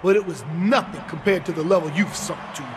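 An actor playing an indignant pro wrestler, protesting emphatically in a wrestling promo. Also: male voice, sits high, brisk, background noise, natural voice.

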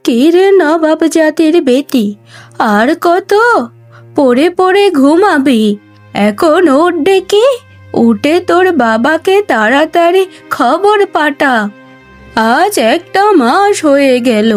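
A woman's voice: high-pitched, expressive, slow pace, a little mellow, soft background music. A woman voicing a greedy, scolding middle-aged mother-in-law in a cartoon, with a commanding manner. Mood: angry, threatening. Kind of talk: Bengali cartoon voice acting.

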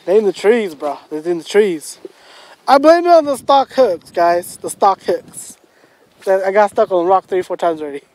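A man vlogging while fishing on a lake, talking casually with lots of slang and excited exclamations. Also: high-pitched, normal speed, rough recording. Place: outdoors on a boat on a lake.

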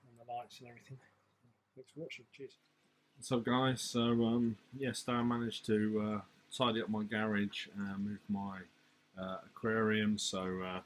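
Flat-toned man in a noisy amateur recording. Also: fairly low pitch. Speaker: hobbyist vlogger. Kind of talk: casual hands-on video update. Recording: background noise, amateur phone video.

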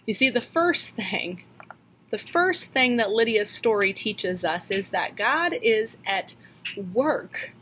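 A woman in her thirties preaching a sermon from a home office into a webcam, earnest and questioning, slowing down to stress key lines. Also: a little high, lively intonation, sharp tone, a little hiss or hum, poor audio. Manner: earnest, questioning, slows for emphasis. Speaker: pastor. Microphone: webcam at desk.

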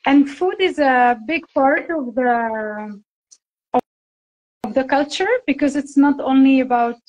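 High-voiced woman on a phone call. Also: slow. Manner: gentle, explaining step by step. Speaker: guest cook. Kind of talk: casual video chat. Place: indoor room, phone held close. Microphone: phone at arm's length.

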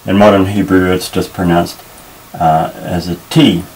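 A man teaching a Bible Hebrew lesson at a table, explaining patiently with many pauses and restarts. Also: sits low, fairly even intonation, a little mellow, a little hiss or hum, low-quality recording. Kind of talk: unscripted tabletop lesson, explaining while pointing. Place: indoors at a table.

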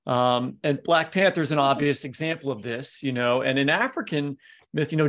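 Gravelly-voiced male podcast host. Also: mid-range voice, a bit bright. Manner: casual, hesitant, with many fillers. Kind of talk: interview questions.

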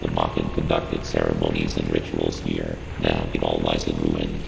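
A man's voice: extremely low pitch, completely flat delivery, moderate pace, gravelly, exaggerated voice. A man voicing a cold, analytical computer intelligence in a video game, giving formal, emotionless briefings in a synthetic, robot-processed voice. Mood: cold, measured. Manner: formal, clipped, emotionless, instructing. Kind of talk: scripted game mission briefing. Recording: echoing reverberant game audio, loud ambient noise.

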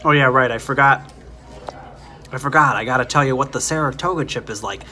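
A man's voice: medium pitch, brisk, pushed and bright. A man in his thirties, a vlogger casually walking through an empty restaurant and then tasting food, talking in an easygoing, chatty way with frequent ums. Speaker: vlogger exploring places and reviewing food. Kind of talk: casual walkthrough commentary and food review. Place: outside an empty restaurant, then inside a diner. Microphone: phone camera at arm's length.

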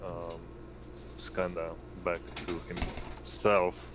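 A deep-voiced man narrates a tabletop game as game master. He takes his time and pauses often, with a faint hum behind him. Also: completely flat delivery. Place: indoors on an online voice call.

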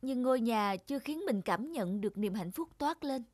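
A woman's voice: fairly high pitch, expressive, normal speed, a little mellow, no echo. A woman voicing an earnest, polite young male architecture student in a cartoon moral tale, with neat enunciation. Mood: pensive. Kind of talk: animated moral tale dubbing.

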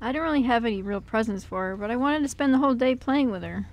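A considerate little orphan boy, played by a woman in an amateur radio play. The voice is fairly high, with a gentle and earnest delivery. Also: animated, fast talker, muffled recording. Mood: earnest, sweet. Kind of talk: amateur radio drama script reading.

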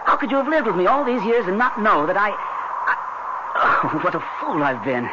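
An earnest, impassioned husband in a vintage radio drama. His high voice rises and falls widely and hesitates, with heavy noise over the broadcast. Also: very animated, moderate pace. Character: earnest, emotional husband, a psychologist. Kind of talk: 1970s radio drama acting. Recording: noisy, muffled old radio broadcast.

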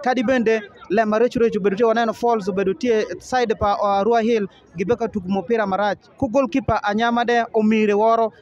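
Young male co-interviewer, high and fast, rambling questions, slightly dull handheld audio outdoors. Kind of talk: post-match field interview. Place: outdoor football field among a large crowd. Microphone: handheld mic.

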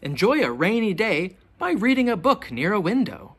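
Teacher doing a kids read-aloud, super swoopy intonation, bit of a husky voice, asks questions real deliberately. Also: mid-range voice. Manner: expressive, deliberate questioning delivery for young children. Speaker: teacher reading a picture book aloud. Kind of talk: children's storybook read-aloud.

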